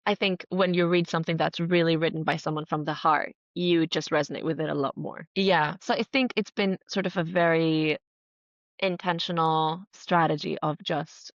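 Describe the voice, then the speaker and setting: A woman from Greece with a lowish voice, chatting as a podcast guest. She is earnest and enthusiastic, leaning on emphatic words in a casual, conversational flow. The sound is close and dry but slightly dull. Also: steady tone, moderate pace, no room sound. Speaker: podcast guest, writer and entrepreneur. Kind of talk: casual conversational interview answers.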